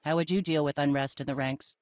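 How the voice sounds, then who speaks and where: A woman's voice: deep voice, fairly even intonation, quick pace, a little mellow, dry recording, close to an everyday voice. An actress playing a tough, dry-witted female Mandalorian leader in a video game, assured and wry. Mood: thoughtful. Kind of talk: video game cutscene acting.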